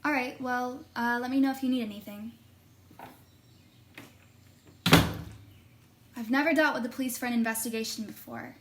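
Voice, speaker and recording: A woman's voice: on the high side, fast, noisy background, lightly acted. A woman playing an earnest, determined investigative reporter who gets easily flustered, in a podcast-style audio drama. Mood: earnest, rambling. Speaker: actor and narrator in an audio drama. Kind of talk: podcast-style narration and naturalistic drama dialogue.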